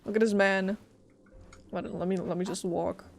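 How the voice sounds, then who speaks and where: A woman's voice: mid-range voice, fairly even intonation, normal speed, vocal fry, slight background noise. A young woman giving casual live gameplay commentary, playful and teasing in manner. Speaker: game streamer. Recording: game music behind voice.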